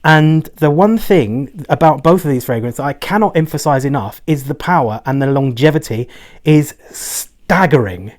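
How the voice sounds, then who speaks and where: A man talking casually on a fragrance review vlog, rambling along and often checking in with a quick "right?". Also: on the high side, expressive, darkish tone.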